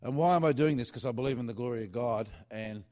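A man's voice: medium pitch, fast talker, a little mellow. A man who writes worship songs, talking casually in a loose, rambling way with many fillers and restarts. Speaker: worship singer-songwriter.

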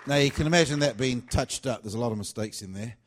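A man's voice: mid-range voice, fast, a faint crowd, a little hiss or hum. A man who writes worship songs, talking casually in a loose, rambling way with many fillers and restarts. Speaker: worship singer-songwriter.

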